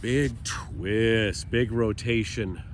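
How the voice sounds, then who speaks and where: A man leading a stretching routine as fitness instructor, cueing calmly and chatting in between, with a slightly stuffy nose, outdoors. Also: on the low side, drawn-out words, slight background noise. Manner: calm, chatty cueing with breathy sighs.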